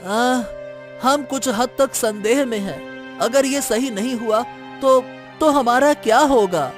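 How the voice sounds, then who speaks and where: A man voicing a worried elderly village grandfather who talks hesitantly, with halting starts. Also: very high-pitched, soft background music. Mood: fearful. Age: older. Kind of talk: cartoon story voice acting.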